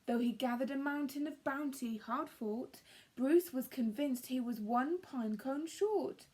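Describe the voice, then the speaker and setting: Female storyteller for young children: very high voice, unhurried, breathy, dramatic rhyming narration. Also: darkish tone, rough recording. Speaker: storyteller reading a picture book to young children.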